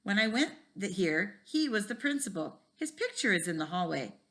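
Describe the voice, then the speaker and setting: Woman reading as an easygoing, animated male teacher. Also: mid-range voice, normal speed. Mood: reminiscing. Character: friendly, joking male computer teacher, easygoing.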